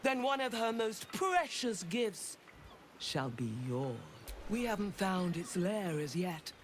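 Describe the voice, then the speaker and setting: Zealous high priestess, solemn, imperious, florid sermon, slow pace, mid-pitched, fantasy game. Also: female voice, very animated, a little hiss or hum. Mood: grave zeal. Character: stern, zealous high priestess of a sea goddess. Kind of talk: dramatic fantasy game acting.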